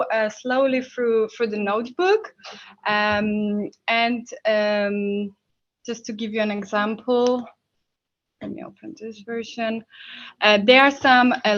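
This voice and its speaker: A woman with a Polish accent speaks as a workshop instructor, explaining things informally. Her delivery is slow and halting, with frequent hesitations between short phrases. The sound is slightly dull, like a live-stream recording. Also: fairly high pitch. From Poland. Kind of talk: informal technical workshop presentation.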